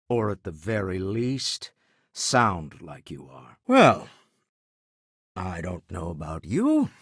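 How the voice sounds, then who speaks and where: An older businessman and explorer from a video game, shrewd, principled and wry. His voice is husky, with lively, swooping intonation. He speaks in articulate, formal phrases, recorded dry with no room sound. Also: deep voice, dry recording, heavily acted. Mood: intrigued, thoughtful. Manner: articulate, measured, dryly formal. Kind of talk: video game character dialogue.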